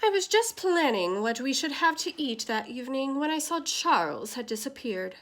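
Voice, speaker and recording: A woman's voice: sits high, sing-song, normal speed, fairly bright tone, pitched above the performer's own voice. A woman reading as a snooty, bossy upper-class mother with a haughty, commanding manner in a storybook. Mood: worried, disdainful.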